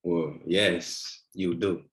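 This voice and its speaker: A man's voice: deep voice, fairly even intonation, extremely slow, fairly bright tone, full character voice. A man reading a teasing, devoted boyfriend in a naturalistic drama table read, conversational and a little hesitant. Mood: teasing, affectionate. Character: teasing, devoted boyfriend, nervous about his grandmother. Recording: virtual meeting recording.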